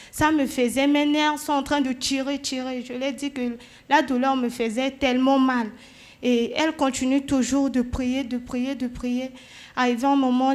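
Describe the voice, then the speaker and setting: A high-voiced woman with a West African French accent gives a church testimony. She speaks quietly and steadily as she recounts her healing. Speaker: church member giving testimony.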